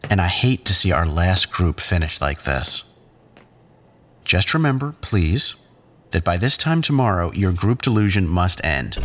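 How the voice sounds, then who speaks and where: Fields: low-voiced, condescending psychiatrist played by a man. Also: heavily acted. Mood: firm, disappointed. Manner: measured, clinical, patronising. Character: condescending, controlling male psychiatrist leading group therapy. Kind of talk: audio drama acting.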